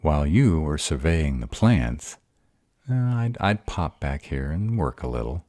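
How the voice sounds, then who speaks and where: A gruff were-dragon in love, acted by a man, speaks in an extremely low, dark voice. He is earnest and easily flustered, hesitating over tender words in an intimate romantic roleplay, with lively swings of pitch. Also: measured pace, dry recording, full character voice. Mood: earnest, caring. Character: gruff, bashful male were-dragon lover in human form. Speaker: voice actor in roleplay audio.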